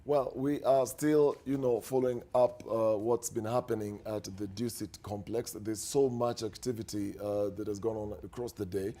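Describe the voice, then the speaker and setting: A man anchoring live TV news, asking questions in a measured way with frequent fillers. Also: on the low side, fairly even intonation, mellow.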